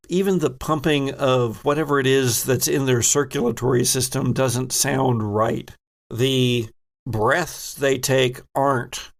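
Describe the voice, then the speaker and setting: Brief: male game master for tabletop RPG, slow and dramatic, plenty of fry in the voice. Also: mid-range voice. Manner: dramatic storytelling, addressing players as characters.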